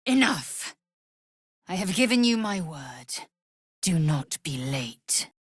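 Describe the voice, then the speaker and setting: A woman playing a proud, fierce githyanki warrior in a video game, with a husky, raspy voice and a clipped, formal, commanding way of talking. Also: fairly low pitch, very animated, takes it slowly, no echo, studio quality, full character voice. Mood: fierce, shouting. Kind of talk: video game character voice lines.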